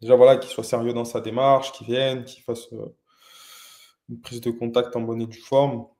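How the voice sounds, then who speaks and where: Man, young adult, mellow voice, hesitant, lots of fillers and restarts, casual interview talk. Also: medium pitch, level tone, normal speed. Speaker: interviewed entrepreneur.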